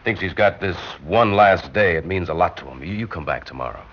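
An actor playing a kind, flustered building superintendent in a classic radio drama, hesitant and pleading. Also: male voice, deep voice, lively intonation, brisk. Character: soft-hearted, flustered male building superintendent. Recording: dull old radio recording.

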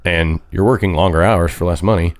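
A man with a very deep, low voice talks in a relaxed, drawling way. He fills his sentences with "like" and "you know". The recording is dry and close, with no room sound. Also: fairly quick, no room sound. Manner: laid-back, drawling, says "like" and "you know" a lot. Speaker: country singer, guest. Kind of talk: casual chat.

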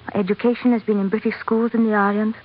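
A woman's voice: mid-range voice, level tone, quick pace, close to an everyday voice. A woman playing a devoted, educated young sultana with an earnest manner in an old radio drama. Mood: gracious, composed. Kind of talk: 1940s radio drama acting. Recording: dull, noisy old radio recording.

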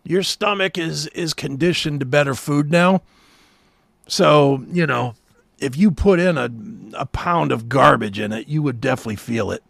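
A man's voice: fairly high pitch, moderate pace, vocal fry, fairly bright tone, dry recording. An American man talking casually as a talk show co-host, blunt, profane and cantankerous. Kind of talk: casual chat, ranting.

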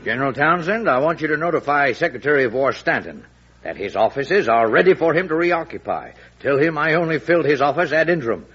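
An actor playing an evasive, uneasy American army general in a classic radio drama, hesitant and deferential, with an American accent. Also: male voice, mid-range voice, a bit bright. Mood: businesslike. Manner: hesitant, throat-clearing, deferential. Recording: muffled old radio recording.

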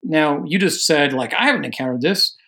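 An American man from Oregon, an army veteran, answering interview questions in a measured, earnest way that carefully qualifies each point. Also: fairly high pitch, expressive, bright, forceful tone. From Oregon, USA. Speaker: guest author on religion and politics.

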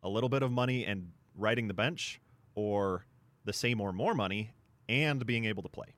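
A man's voice: fairly low pitch, normal speed, fairly bright tone, dry recording. A man co-hosting a sports talk show, explaining things point by point in a discussion. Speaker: sports radio show co-host.

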